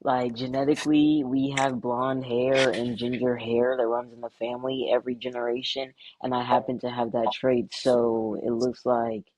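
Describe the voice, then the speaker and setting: A man's voice: medium pitch, lively intonation, normal speed, gravelly, few pauses, a little mellow, muffled recording. A young man in a casual podcast chat, laid-back and frank, leaning on lots of fillers. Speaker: podcast guest.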